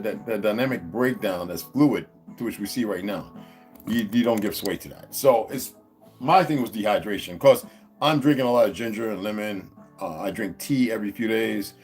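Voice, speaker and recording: A man chatting casually to his viewers at home, wandering from topic to topic with many restarts. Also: a little mellow. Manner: meandering, restarts, talks to his dogs in a high coaxing voice. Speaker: vlogger. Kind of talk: rambling casual vlog to viewers. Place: indoors at home.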